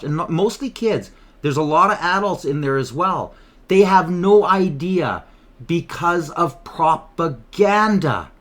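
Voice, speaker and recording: A man's voice: a little high, fairly bright tone. A man commenting over protest footage in an emphatic, indignant way. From Canada.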